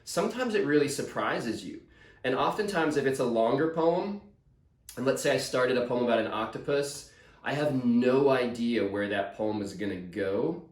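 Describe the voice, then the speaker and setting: Guy author chatting to a class on a video call, mid pitched, upbeat explainer, kinda echoey room. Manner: enthusiastic, explanatory. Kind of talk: casual Q&A with schoolchildren.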